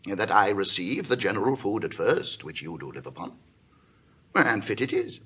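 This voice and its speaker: An actor playing a genial, wily Roman patrician in a Shakespearean drama, with a husky voice and a teasing, theatrical delivery. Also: male voice, medium pitch, fairly bright tone, full character voice. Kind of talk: classical Shakespearean stage acting.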